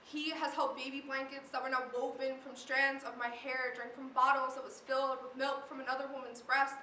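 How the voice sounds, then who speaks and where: High, bright teenage girl performing slam poetry. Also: level tone, a little fast. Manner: dramatic, emphatic recitation with long cascading lists. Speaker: slam poet. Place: live poetry event, recorded from a distance. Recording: distant echoey room recording with noise.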